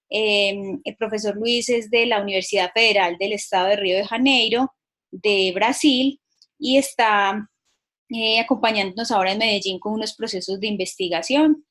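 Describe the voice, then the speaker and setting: A woman's voice: medium pitch, a bit bright. A woman hosting a video conference who introduces the guest lecturer in a polite, formal way with some hesitation. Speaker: host, moderator.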